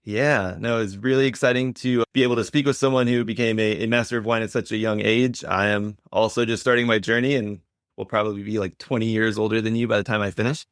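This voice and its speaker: A man's voice: lowish voice, steady tone, quick pace, fairly bright tone, smooth, no room sound, clean studio sound. A man hosting a podcast in a casual, conversational style. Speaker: podcast host.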